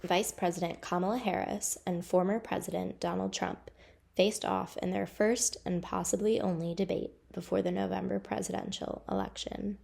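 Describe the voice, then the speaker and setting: Gravelly-voiced woman reporter. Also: mid-range voice, fairly even intonation, normal speed, a little mellow. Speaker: newspaper reporter. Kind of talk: news narration and interview questions.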